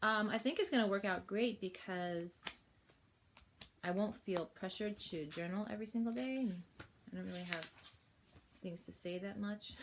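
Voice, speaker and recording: A woman with a cold, sniffly, chatting casually through a planner unboxing at home while filming on her phone, rambling with fillers and soft laughs. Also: medium pitch, a little hiss or hum. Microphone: phone held while filming.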